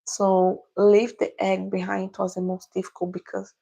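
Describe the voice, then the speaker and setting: A young Brazilian woman with a Portuguese accent, answering viewers casually on a livestream in careful, halting English. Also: mid-range voice, monotone, gravelly, warm tone, husky. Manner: halting, searching for English words. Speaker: livestream host.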